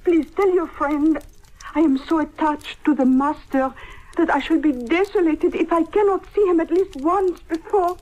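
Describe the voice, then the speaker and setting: A woman's voice: very high voice, normal speed, darkish tone. An actress playing a grand, calculating society lady, affected and insinuating, in old-time radio comedy style. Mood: gushing, mournful. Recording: noisy old radio drama recording.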